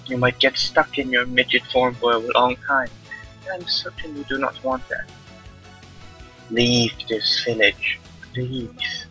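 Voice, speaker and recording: A man's voice: mid-range voice, steady tone, takes it slowly, pushed and bright, low-quality recording. A man voicing a stern, exasperated older-sister spirit woman while reading a visual novel aloud. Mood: grave, pleading. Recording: music behind the voice.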